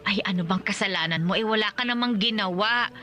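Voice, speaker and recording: Actress doing a worried filipino nanay in a radio drama, older, chatty n fussy, bright forceful voice. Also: female voice, medium pitch, quick pace, faint music behind, lightly acted. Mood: concerned, tender. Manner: fussing, chatty, peppered with "eh" and "ha". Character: loving, worried rural Filipino mother, older, teasing.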